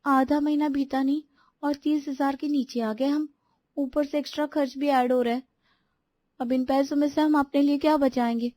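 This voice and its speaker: A dutiful young sister-in-law of a household tale , acted by a woman. Her voice is very high, and she defends herself with indignant explanations. The sound is dry. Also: steady tone, moderate pace, dark tone, no room sound, natural voice.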